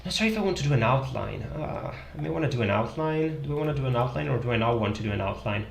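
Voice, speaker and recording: A man's voice: mid-range voice, lively intonation, quick pace, vocal fry. A young adult man from Italy with an Italian accent, casually chatting to viewers on a live stream while he draws, thinking aloud with many fillers. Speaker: livestream host. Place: small room indoors. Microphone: headset. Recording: echoey room with faint music.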